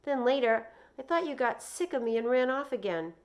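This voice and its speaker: A woman's voice: sits high, lively intonation, normal speed, voice pushed up. A woman voicing an agitated young boy who blurts out his troubles in insistent, run-on complaints. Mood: upset.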